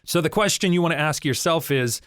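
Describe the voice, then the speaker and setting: A man hosting a podcast on voice acting, giving steady, friendly advice to beginners. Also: on the high side, fairly quick, smooth, no echo, studio quality. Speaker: podcast host and voice-over coach. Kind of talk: scripted podcast teaching.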